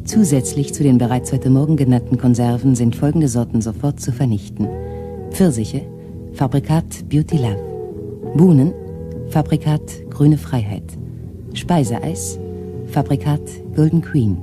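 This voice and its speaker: Casting: an actor playing an official announcer, formal and measured, with music clearly underneath. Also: medium pitch, lightly acted. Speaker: radio announcer.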